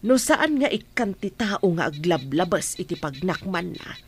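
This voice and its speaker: A woman's voice: fairly low pitch, sing-song, normal speed, slightly rough, heavily acted. A woman playing a proud, protective middle-aged mother in a radio drama, talking in an insistent, lecturing way. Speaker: Juliet's mother. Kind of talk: amateur radio drama acting.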